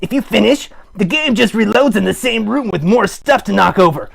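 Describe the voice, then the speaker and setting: A man voicing a chatty, goofy puppet who hosts a live show, rambling playfully in a raspy, croaky voice. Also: sits high, animated, normal speed, fairly bright tone, full character voice. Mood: playfully excited. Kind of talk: improvised comic rambling to live chat.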